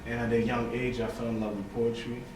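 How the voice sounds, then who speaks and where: A man's voice: mid-range voice, a little fast. Chatty young man from Haiti, echoey room. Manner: relaxed, chatty, many fillers and "you know". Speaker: poet and writer, guest. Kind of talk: casual interview chat. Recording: echoey room, mic far off, noisy.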